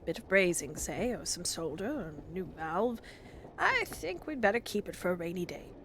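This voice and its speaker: A woman voicing a cheerful, brisk, reassuring father in a storybook reading. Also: lowish voice, big pitch swings, a bit bright, slight background noise. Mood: hearty, cheerful. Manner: brisk, jovial, encouraging. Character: cheerful, hearty, reassuring father of an Edwardian family.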